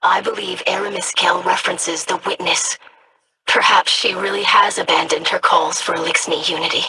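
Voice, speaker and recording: Game role: bright-voiced young alien researcher, measured and precise. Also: female voice, lowish voice, steady tone, breathy edge, full character voice. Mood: scholarly. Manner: measured, studious. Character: young alien scholar, formal and curious.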